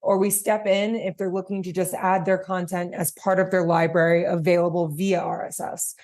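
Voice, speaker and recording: A woman answers interview questions in a conversational way. Her voice sits a little lower than average for a woman. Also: flat delivery, moderate pace, a little mellow. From New York, United States. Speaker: guest, podcast platform chief executive.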